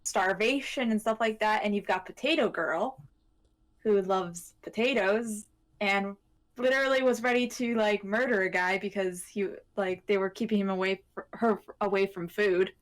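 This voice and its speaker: Woman co-hosting a chat, mid pitch, kinda hesitant n rambly, giggly. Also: fairly even intonation, moderate pace. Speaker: podcast co-host. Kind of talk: casual chat.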